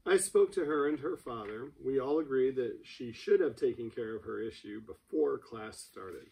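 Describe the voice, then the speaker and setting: Officious, dismissive male administrator, read by a man, gravelly and husky, amateur drama reading. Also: mid-range voice, moderate pace, darkish tone, rough recording. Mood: formal.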